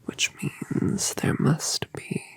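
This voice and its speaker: A woman voicing a colossal, doting mother dragoness, whispering breathily and cooing gentle questions in an ASMR roleplay. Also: extremely slow, dry recording. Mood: tender.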